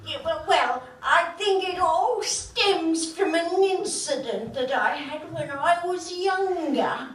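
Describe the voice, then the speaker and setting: Patient played by a woman: dotty, confessional, very high-voiced, comic stage play heard from afar. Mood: prim, confiding. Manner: halting, confessional, oblivious. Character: dotty, hard-of-hearing, secretive female therapy patient. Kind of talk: live comedy radio play. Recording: echoey, distant stage recording, background noise.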